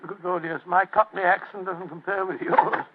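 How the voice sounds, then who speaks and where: An actor playing a genial, blustery older English gentleman sidekick in a 1940s radio drama, muttering and avuncular. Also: high-pitched, fairly even intonation, moderate pace, natural voice. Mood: wary, blustery. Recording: dull, noisy old-time radio recording.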